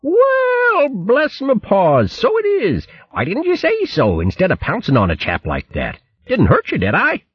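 Blustering jolly hare fellow, high and bouncy, husky, muffled. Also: male voice, huge pitch swings, measured pace, hardly any pauses, no echo, full character voice. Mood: blustering cheer. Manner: hearty, blustery, full of "what, what" bluster. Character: jolly blustering male hare warrior, boastful and kind. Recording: muffled lo-fi recording.

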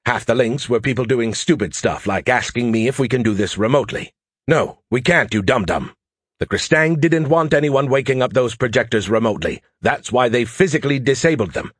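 A man's voice: medium pitch, expressive, fairly quick, slightly rough, dry recording, clean studio sound. A man voicing a sarcastic, irritable male artificial intelligence in an audiobook, condescending and mocking. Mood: sarcastic, scolding. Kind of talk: audiobook character voice.

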